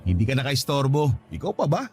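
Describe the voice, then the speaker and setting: A man's voice: mid-range voice, very animated, normal speed, darkish tone, faint music behind, close to an everyday voice. A man in a radio drama playing an upbeat boyfriend in his mid-thirties, breezy and a bit defensive. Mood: cheerful. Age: 30s.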